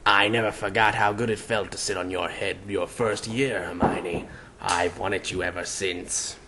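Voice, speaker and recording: A man voicing a smug, lecherous talking hat with a gruff baritone and crooning, cajoling manner. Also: steady tone, a little slow, sharp tone, slight background noise, full character voice. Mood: smug, cooing. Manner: crooning, sing-song, cajoling.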